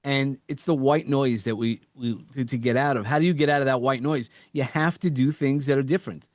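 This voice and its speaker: A man with a New York accent, a coach talking as a podcast guest, energetic and quick to repeat words and act out quotes. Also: mid-range voice, no room sound, studio quality. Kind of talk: casual interview chat.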